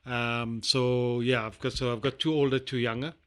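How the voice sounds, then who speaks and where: A middle-aged South African man being interviewed, talking in a rambling, halting way with many fillers. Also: mid-range voice, little pitch movement, a bit bright, clear, resonant tone. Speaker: guest. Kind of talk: casual interview chat.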